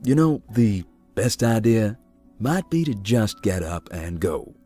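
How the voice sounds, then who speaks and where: A very low male voice plays a giant, laid-back man in a horror visual novel. His delivery is folksy and dry, with lively swings in intonation. Music plays behind him. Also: takes it slowly, full character voice. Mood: calm, wry. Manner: deadpan, folksy, wry. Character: giant, easygoing, wry man exploring a haunted hospital.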